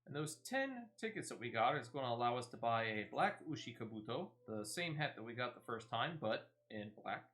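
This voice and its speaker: A man's voice: mid-range voice, animated, fairly quick, few pauses, fairly bright tone. A man giving casual, playful gameplay commentary as he streams. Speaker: game streamer. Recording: music playing behind the voice. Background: game music.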